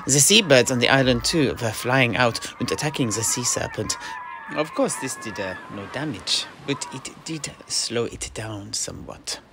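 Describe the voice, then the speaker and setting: Mercenary knight narrator, male actor: formal, hesitant fantasy storyteller with animated intonation over faint background noise. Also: medium pitch, moderate pace, close to an everyday voice. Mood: measured storytelling. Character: courteous mercenary knight retelling his grandfather's sea adventure.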